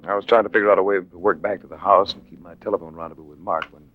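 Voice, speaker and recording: A man's voice: low voice, fairly even intonation, very fast, slightly rough, phone-quality sound. A man playing a cool, wry American adventurer-investigator in 1940s radio drama style, speaking in a clipped, dry, hardboiled way. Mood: grim narrating. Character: cool, wry American male adventurer-investigator, narrating his own case. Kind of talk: 1940s radio drama acting with first-person narration.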